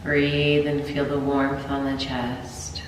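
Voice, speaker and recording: A yoga teacher with a stuffy sinus cold talks her class through breathing and stretches in a very low, slow voice. She clears her throat now and then. She sits some way from the camera, and the room gives a strong, long echo. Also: distant mic, noisy background. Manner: calm, gentle cues with throat clearing. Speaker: yoga instructor. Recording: roomy echo, voice from afar.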